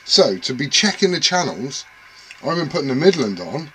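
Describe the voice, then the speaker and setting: A man's voice: fairly high pitch, sing-song, normal speed, a bit bright, a little hiss or hum, rough recording. A man rambling casually and self-deprecatingly to camera about his radio gear at home. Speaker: CB radio hobbyist vlogger.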